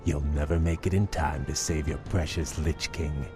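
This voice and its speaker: An actor playing a proud, vengeful elf prince in a game cutscene, measured and coolly taunting. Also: male voice, rumbling low, steady tone, music in the background. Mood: smug, vengeful. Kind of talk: video game cutscene acting.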